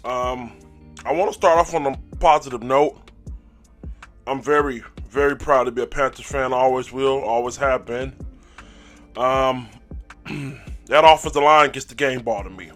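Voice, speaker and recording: Hoarse male fan-show host, casual, hyped, clears throat often, mid-pitch. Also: measured pace, long pauses, a bit bright, faint music behind. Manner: hype call-and-response, throat clearing. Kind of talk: casual sports fan recap.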